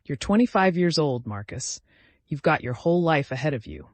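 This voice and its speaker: A man's voice: fairly high pitch, very animated, normal speed, no echo. A man voicing a worried, frank friend who pleads and cautions in a dramatic audio story. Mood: pleading.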